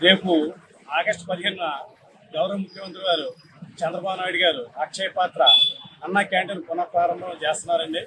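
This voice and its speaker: A middle-aged man, a local party worker, gives a statement outdoors into handheld press microphones, halting and restarting often. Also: high voice, flat delivery, sharp tone, slight background noise, low-quality recording. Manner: halting, repeats filler words, restarts. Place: outdoors on a street.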